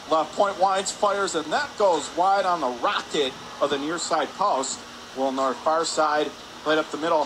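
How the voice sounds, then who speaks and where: High-pitched male hockey play-by-play announcer, noisy rink. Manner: excitable, voice rising on shots and saves. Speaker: radio play-by-play commentator. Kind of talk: live hockey play-by-play commentary. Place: indoor ice hockey arena. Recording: noisy rink ambience behind the voice.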